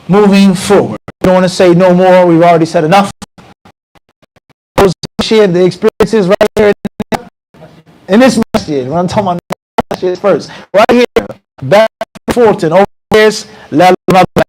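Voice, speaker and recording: An American man in his thirties teaches a mosque class in a bright, high register, talking straight to the room. He speaks into a handheld mic held close, mixing casual talk with recited Arabic. A faint hiss sits under the recording. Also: normal speed, rough recording. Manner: animated, conversational, quotes Arabic passages. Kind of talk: mosque lecture. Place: small mosque room with bookshelves. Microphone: handheld mic close to mouth.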